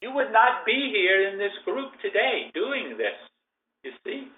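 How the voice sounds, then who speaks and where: A man channeling a spirit, giving spiritual guidance in a formal, unhurried way and speaking of himself as "we". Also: sits high, sing-song. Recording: echoey, tinny phone-like recording.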